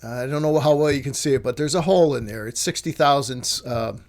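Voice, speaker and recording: A man giving a casual, explanatory talk as an amateur radio hobbyist, halting and correcting himself as he works through technical details. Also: medium pitch. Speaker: amateur radio hobbyist presenting his project.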